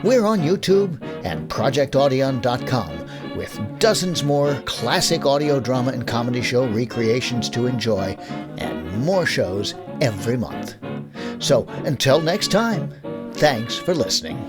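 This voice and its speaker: A man's voice: medium pitch, sing-song, measured pace. A man hosting a radio drama show, delivering a scripted sign-off. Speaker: show host and announcer. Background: music.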